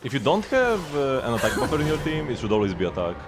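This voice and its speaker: A man's voice: mid-range voice, huge pitch swings, fast talker. A man giving casual live gameplay commentary, rambling and thinking aloud as he goes. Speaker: game streamer. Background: game music.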